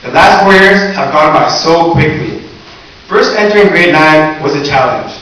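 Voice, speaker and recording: A man's voice: on the high side, lively intonation, normal speed, fairly bright tone. A teenage man delivering a graduation speech as valedictorian, earnest with a joking touch. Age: teens. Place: large echoey hall or gym. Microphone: recorded from far off in the audience. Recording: distant echoey hall recording with hiss.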